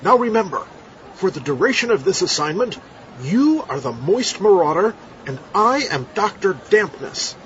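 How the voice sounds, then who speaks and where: A man playing a scheming, commanding scientist hero undercover in an audio comedy, brisk and mock-serious. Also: sits high, big pitch swings, fairly bright tone. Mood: briskly commanding. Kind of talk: audio comedy drama acting. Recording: muffled audio with faint background noise.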